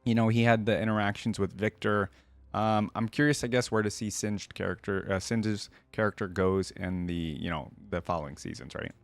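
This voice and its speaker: A man's voice: on the low side, steady tone, moderate pace, no room sound, soft background music. A man hosting a reaction video, casually musing aloud and explaining his opinions in a conversational way.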